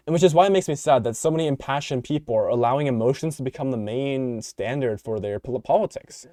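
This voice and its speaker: Young male podcaster, mid-pitch, rambling and opinionated, slightly worn voice, dead-dry recording. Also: dark tone, no room sound. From United States. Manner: rambling, opinionated, many fillers and restarts. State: voice tiring. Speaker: podcast host. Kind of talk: unscripted solo commentary.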